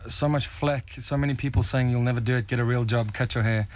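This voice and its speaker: South African man, young adult, fast, earnest, a bit halting. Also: mid-range voice, fairly even intonation. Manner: earnest, fast, with stumbles and restarts. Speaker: rock band member, interviewee. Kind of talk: casual radio interview.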